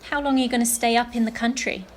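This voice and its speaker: An amateur actress playing a nosy, teasing drinking companion in a radio play, with a drawling, flippant manner. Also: female voice, on the high side, fairly even intonation, fast talker, lightly acted.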